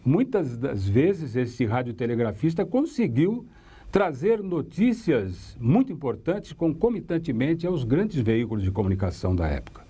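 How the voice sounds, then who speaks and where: A man's voice: mid-range voice, very animated, normal speed, dark tone, husky. An older man with a Brazilian accent, a veteran radio reporter reminiscing in an interview.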